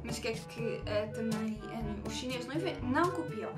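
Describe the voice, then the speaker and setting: A woman's voice: a little high, lively intonation, fairly quick. A young woman with a European Portuguese accent, showing cheap online purchases in a hesitant, filler-heavy way. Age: young adult. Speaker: beauty vlogger. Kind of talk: casual haul video. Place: small bathroom. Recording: echoey bathroom with loud music.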